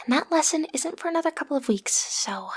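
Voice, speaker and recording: A woman's voice: sits high, expressive, fast talker. A young woman playing a lovestruck schoolgirl villain's daughter in an intimate audio roleplay, hesitant and playfully menacing, often slipping into a whisper. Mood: awkwardly menacing. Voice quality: breathy, often dropping to a whisper. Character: lovestruck teenage schoolgirl, villain's daughter, awkward amateur kidnapper. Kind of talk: audio roleplay acting, intimate direct address to listener.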